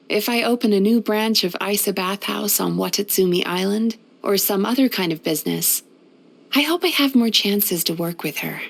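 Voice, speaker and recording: A woman voicing a gentle, wistful, centuries-old yokai healer in a video game, speaking softly in a measured, polite, reflective way. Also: medium pitch, creaky, low-quality recording. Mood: warm, admiring. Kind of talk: scripted video game character voice-over.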